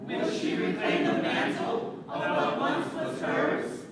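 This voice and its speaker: An actor playing a solemn Greek chorus speaker in a stage play, declaiming rhyming verse portentously. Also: male voice, extremely high pitch, expressive, slow pace, full character voice. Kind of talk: projected stage chorus narration. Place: theater stage, recorded from afar. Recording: very echoey, noisy distant theater with crowd.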